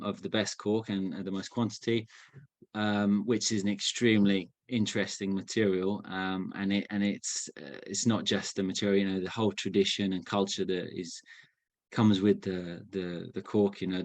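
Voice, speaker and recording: A young man from England giving an informal talk over a video call, relaxed, with many fillers and restarts. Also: on the low side, no echo. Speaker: guest speaker. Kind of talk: informal online presentation.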